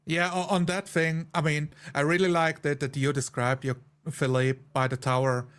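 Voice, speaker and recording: A man in a casual discussion as a game player, talking haltingly as he thinks aloud. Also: fairly high pitch, little pitch movement, a bit bright, clear, resonant tone. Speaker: tabletop role-playing player.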